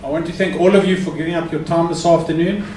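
A man's voice: a little high, steady tone, quick pace, a little mellow, slight background noise. A man opening a conference with a formal, courteous welcome before handing over to the speaker. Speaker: host introducing the speaker. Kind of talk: welcome speech. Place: conference hall. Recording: echoey hall from far off.

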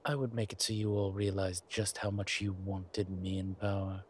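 A man playing a centuries-old vampire in a roleplay audio drama, suave, wry and protective, speaking in a measured, theatrical way with deliberate pauses. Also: low voice, level tone, close to an everyday voice. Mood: playfully boastful. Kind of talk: scripted roleplay audio drama addressed to the listener. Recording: clear background ambience noise.

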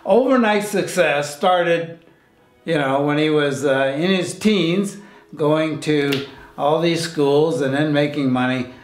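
Chatty older male vlog host, easy laugh, slightly high voice, echoey room. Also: takes it slowly, soft background music. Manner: jovial, chatty, laughs often. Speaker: host, interviewer. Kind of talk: casual interview and vlog talk. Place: artist's home studio, indoors. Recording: roomy echo.

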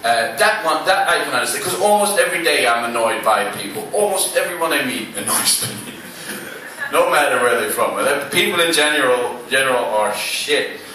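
A man's voice: medium pitch, expressive, bright, forceful tone, slight background noise. A man in his thirties with a Swedish accent, a slam poet bantering dryly between poems with many fillers and restarts. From Sweden. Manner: dry, self-mocking, halting with many fillers. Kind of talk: stand-up style spoken word banter. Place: small club stage. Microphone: stand mic on stage, recorded from the audience. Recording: echoey club from far off.

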